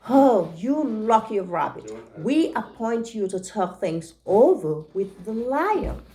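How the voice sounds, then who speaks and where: Woman reading as sly big animals, animated. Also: mid-range voice, takes it slowly. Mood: sly coaxing. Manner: sly, coaxing. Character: sly big animals coaxing a little rabbit.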